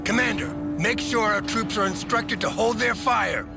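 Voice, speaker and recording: Under loud music, a stubborn military general barks in a gritty, creaky voice. It sits high for a man. He is blunt and commanding, a hawkish game character with a short fuse. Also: moderate pace. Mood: resolute. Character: hot-headed, stubborn hawkish American army general. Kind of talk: dramatic video game voice acting.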